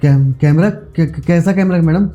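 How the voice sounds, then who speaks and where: A man's voice: medium pitch, fairly even intonation, brisk, mellow, lo-fi sound, soft background music, natural voice. A man playing a sneaky, easily cowed young male student in an acted short drama. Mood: nervous. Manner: deferential, repeating madam.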